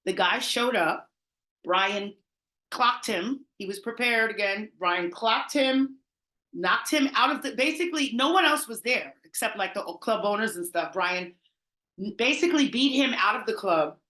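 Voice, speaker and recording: A woman's voice: medium pitch, moderate pace, roomy sound, poor audio. A middle-aged woman from the Bronx, telling stories in a casual interview and laughing often. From the Bronx, New York. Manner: animated, chatty, laughs often, trailing restarts. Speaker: party promoter, interview guest.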